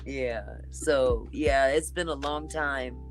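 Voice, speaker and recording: A woman with a deep, raspy voice talking in a relaxed, chatty way as a guest in a casual conversation. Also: takes it slowly, soft background music. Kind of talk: casual chat.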